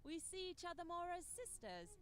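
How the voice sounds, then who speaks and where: An actress playing a perky, easily flustered young heroine in a visual novel. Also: female voice, very high-pitched, big pitch swings, fairly quick. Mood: flustered, cheerful. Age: young adult. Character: young woman heroine, perky, flustered, chatting to her pet chicken.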